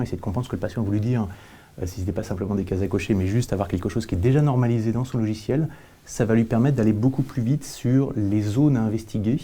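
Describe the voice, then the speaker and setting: A man giving explanatory answers as an interview guest, with halting repetitions and illustrative examples. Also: on the low side, warm tone. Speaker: company representative, panel guest.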